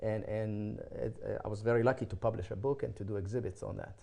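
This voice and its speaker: Older Israeli man, mellow voice. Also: fairly low pitch, fairly even intonation, fast, creaky. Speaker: interview guest, photographer. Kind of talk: conversational personal storytelling.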